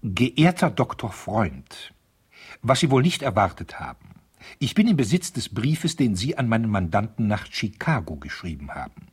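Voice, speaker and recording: Radio drama role: pompous, offended older attorney, very raspy, swooping intonation, formal and cutting. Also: male voice, medium pitch. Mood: indignant. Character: pompous, spiteful older lawyer, smugly offended. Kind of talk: radio play, letter read aloud in character.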